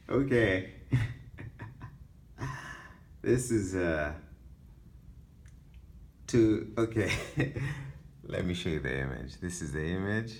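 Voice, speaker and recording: A man with a Kenyan accent, from Kenya, talking through his photographs in a relaxed gallery talk. His voice sits very low and he speaks slowly, halting now and then with fillers and soft little laughs. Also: creaky. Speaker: photographer presenting his exhibition.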